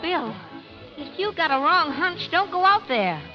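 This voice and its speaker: Brash saloon gal, loyal, folksy, high swooping voice, 1940s radio western, muffled sound, music behind. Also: female voice, sing-song, measured pace, heavily acted. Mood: blunt, worried. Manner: folksy, blunt frontier talk. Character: brash, loyal, lovestruck frontier saloon woman.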